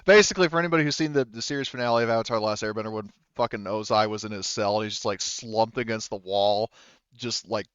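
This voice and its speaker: A man improvising as game master of a tabletop roleplaying game, halting as he searches for words and swearing casually. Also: fairly low pitch, fairly bright tone, clean tone, no room sound, dull audio. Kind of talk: improvised narration of a tabletop roleplaying game, relaying characters' replies.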